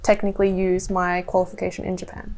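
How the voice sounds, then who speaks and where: A woman's voice: on the low side, completely flat delivery, quick pace, vocal fry, crowd noise. A woman, a guest on a podcast, chatting casually and trailing off with fillers. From Australia. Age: 20s. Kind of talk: casual chat.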